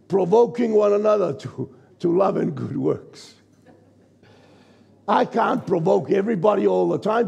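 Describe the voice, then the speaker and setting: A man in his sixties, a pastor from New Zealand, preaching a sermon from a church stage with a croaky, gravelly voice and a conversational manner. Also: sits high, mellow. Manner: conversational preaching, checks in with the congregation. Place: church stage, large indoor hall. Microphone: stage mic at a lectern, far camera.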